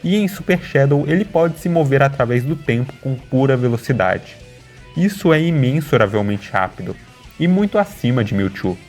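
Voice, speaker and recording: Man, Brazilian accent, scripted narration, medium pitch, analytical video narrator, faint music behind. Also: lively intonation, normal speed, darkish tone. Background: background music.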